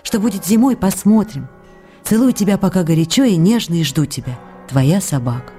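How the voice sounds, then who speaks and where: An actress playing an affectionate, eager woman writing to her beloved in a radio drama, intimate and gentle. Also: on the low side, expressive, normal speed, faint music behind, natural voice. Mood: tender, longing. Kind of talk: dramatised letter reading for radio.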